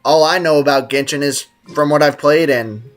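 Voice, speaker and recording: A man's voice: a little high, normal speed. A man live streaming a game with casual, slangy commentary, joking and teasing as he chats with viewers. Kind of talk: casual gaming stream commentary, reading and answering chat.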